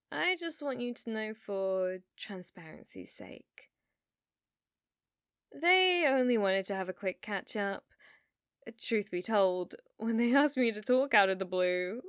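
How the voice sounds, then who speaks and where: A young woman with a British accent acting a sweetly manipulative, teasing girlfriend in an intimate, soft-spoken ASMR roleplay. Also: on the high side, dry recording, clean studio sound, heavily acted. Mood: breezy, reassuring. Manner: coy, soft-spoken, teasing with feigned innocence.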